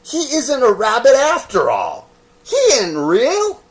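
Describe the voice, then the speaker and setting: A cocky young male rabbit from a storybook, acted out by a man. He taunts in high, loud exclamations and swoops with teasing scorn. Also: wildly swooping pitch, takes it slowly, exaggerated voice. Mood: scornful.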